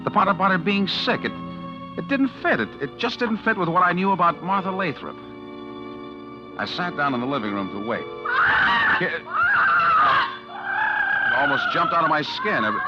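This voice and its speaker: An actor playing a bitter, scheming estranged husband in a 1940s radio mystery, speaking in a halting, brooding way. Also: male voice, a little high. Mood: brooding suspense, then uneasy, tense. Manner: hesitant, brooding, with halting repeated words. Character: bitter, scheming estranged husband narrating his own tale. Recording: dull 1940s radio recording with music.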